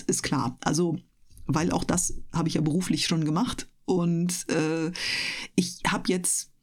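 Chatty woman, slightly low voice. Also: darkish tone. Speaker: voice actress, interview guest. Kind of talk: casual radio interview chat.

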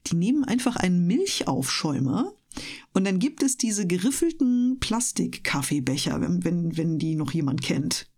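A woman with a slightly husky voice, chatting casually as an interview guest. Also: on the low side, very animated, normal speed, a little mellow. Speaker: interview guest, audiobook narrator and speaker. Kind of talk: casual chat.

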